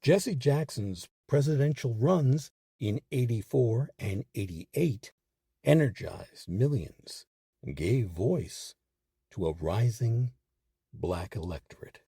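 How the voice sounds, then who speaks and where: Male narrator, low mellow voice, emphatic and measured, swinging widely in pitch for scripted documentary storytelling. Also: expressive, slightly rough, no echo, clean studio sound. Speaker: host and narrator of a history series.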